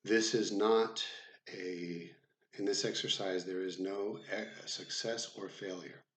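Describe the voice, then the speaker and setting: A man guiding a meditation as an instructor, speaking in a measured, deliberate way with frequent pauses. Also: deep voice, steady tone, fairly bright tone, reverberant, slight background noise. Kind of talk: guided body scan meditation instruction. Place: room with the door left open.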